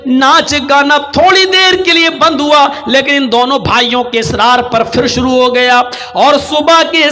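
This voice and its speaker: Guy preaching islamic sermon, really high sharp voice, dramatic storytelling, declaims everything. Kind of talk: impassioned religious sermon told as a cautionary story.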